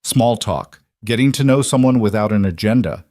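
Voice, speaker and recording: A man's voice: on the low side, normal speed, mellow, no room sound, studio quality, close to an everyday voice. A man voicing an intense mafia boss in an audio romance, direct and earnest, a little socially awkward. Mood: intense, probing.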